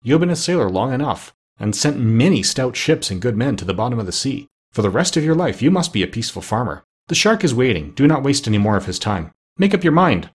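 A kindly storybook doctor lays down the law gently but firmly, as a man reads him. The voice is husky and lively in its intonation. It stays close to the reader's own tone. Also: mid-range voice, fast, hardly any pauses. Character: kindly, firm middle-aged male doctor.

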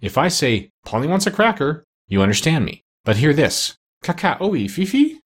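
A man voicing a bossy, proud, clever female pet parrot in a high voice, from a storybook. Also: sing-song, moderate pace, slightly rough. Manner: insistent, instructive.

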